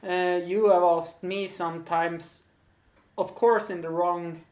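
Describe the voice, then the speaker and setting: A woman's voice: fairly low pitch, steady tone, slow pace, darkish tone, echoey room. A woman with a Swedish accent hosting a casual podcast interview, hesitant with many fillers and easy laughs. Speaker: podcast host.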